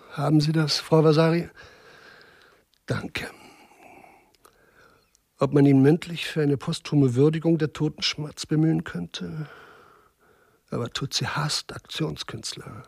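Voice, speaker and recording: An actor playing an officious, courtly villa director in a radio drama, dictating in a formal, pompous manner. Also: male voice, medium pitch, darkish tone, no echo, studio quality.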